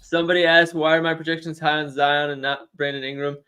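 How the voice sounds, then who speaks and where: A young man co-hosting a casual sports betting livestream, chatty and quick to laugh while he answers questions from the chat. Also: a little high, little pitch movement, sharp tone, clear, resonant tone. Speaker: sports betting stream co-host.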